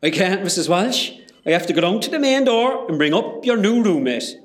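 Easygoing West Cork hospital porter, forties played by a man, high-pitched male voice, chatty and teasing, radio comedy. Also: big pitch swings, normal speed. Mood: gossipy. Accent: West Cork Irish. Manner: bantering, chatty. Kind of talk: amateur comedy radio play acting.

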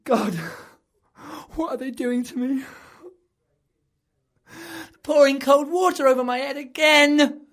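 A woman acting a deluded male clerk who believes he is king, in a dramatic monologue that swings between hushed whispers and loud outbursts. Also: sits low, expressive, a little slow, husky, heavily acted. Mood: anguished.

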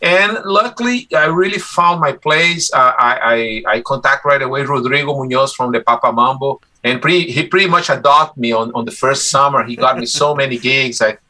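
A man in his forties from Brazil whose first language is Portuguese, talking casually as an interview guest about his life as a musician. He is relaxed and chatty, restarts his sentences often and laughs easily. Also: medium pitch, moderate pace, hardly any pauses.

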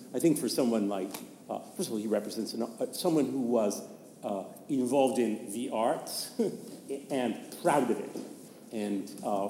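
A man giving informal scholarly commentary in a halting, wry way. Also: mid-range voice, warm tone. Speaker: panelist, literary commentator. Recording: reverberant hall, faint noise.

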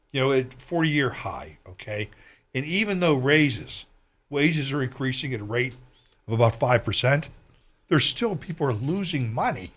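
Male political talk radio host, argumentative, clears his throat often, mid-pitched voice. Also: fairly bright tone. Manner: argumentative, frequent throat clearing, tags "okay?" and "right?".

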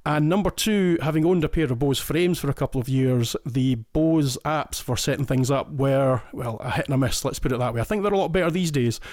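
A man's voice: mid-range voice, fairly quick, few pauses, a little mellow, no room sound. Scottish man, enthused, dry close sound. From Scotland. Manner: detailed, enthusiastic, often restarts phrases. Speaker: listener guest. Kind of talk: casual podcast chat.

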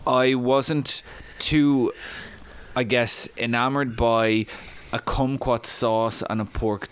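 A man's voice: medium pitch, fairly even intonation, takes it slowly, a bit bright, clean tone. A man co-hosting a podcast, giving emphatic opinions in casual review chat. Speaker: podcast co-host.

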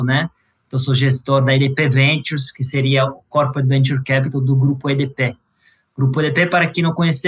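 A man's voice: mid-range voice, a bit bright. A man from Sicily with an Italian accent, a native Italian speaker, explaining as an interview guest and often adding small tag questions. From Sicily, Italy. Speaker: guest, corporate venture executive.

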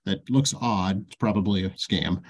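Man hosting, slightly low voice. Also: normal speed. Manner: brief agreeing interjections. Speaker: host. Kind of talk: casual interview chat.

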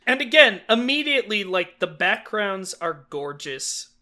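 Bright, high-voiced joking man. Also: a little slow. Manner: animated and jokey, laughs often. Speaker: podcast co-host. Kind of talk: casual chat reviewing old cartoons.

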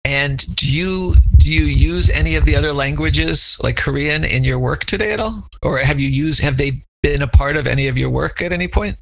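A man's voice: a little high, normal speed, vocal fry, few pauses, rough recording. A man interviewing a colleague, asking thoughtful questions with frequent restarts. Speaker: interviewer, legal language scholar. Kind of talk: conversational interview.

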